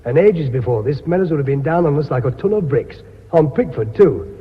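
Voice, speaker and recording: An excitable, doubting British businessman in a radio play, very raspy and quick, with a highish voice. He argues insistently, in a muffled recording. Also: big-room sound. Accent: British English. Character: doubting, excitable board colleague of a company. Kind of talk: British radio drama acting.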